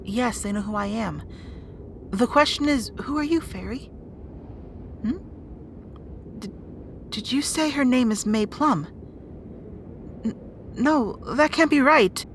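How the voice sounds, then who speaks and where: A woman's voice: a little high, slow, long pauses, a little hiss or hum. A woman playing a brusque, guarded young rat princess in an audio roleplay drama, bossy and conversational. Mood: brusque, demanding.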